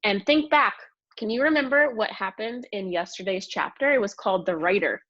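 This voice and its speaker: A woman teacher giving an expressive read-aloud lesson to young children, explaining and asking questions as she goes. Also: mid-range voice, brisk, muffled recording.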